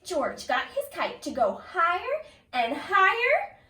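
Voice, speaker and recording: A woman teacher reads a children's story slowly, her high voice swinging expressively as she pauses to put questions to little listeners. The sound is roomy and echoing, picked up from a distance. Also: a little hiss or hum. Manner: expressive, exaggerated, pausing to ask young listeners questions. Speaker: kindergarten teacher reading aloud. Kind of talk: animated children's picture-book read-aloud with questions to listeners. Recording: roomy echo, distant from the mic.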